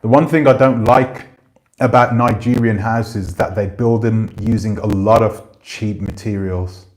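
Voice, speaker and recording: A man in his forties chatting casually on a livestream, rambling and relaxed, swearing now and then. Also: lowish voice, a little slow. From Jamaican family roots. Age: 40s. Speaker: channel host. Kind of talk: casual livestream chat reading comments.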